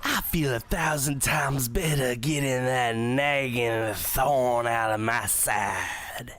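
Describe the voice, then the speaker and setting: An actor playing a sardonic, lovelorn late-night radio host in an audio drama. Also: male voice, medium pitch, slow pace, fairly bright tone, no room sound. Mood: upbeat.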